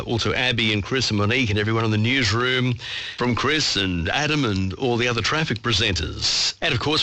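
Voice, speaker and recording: A man's voice: mid-range voice, pushed and bright. An Australian man presenting live on radio from a studio. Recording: AM radio broadcast picked up online.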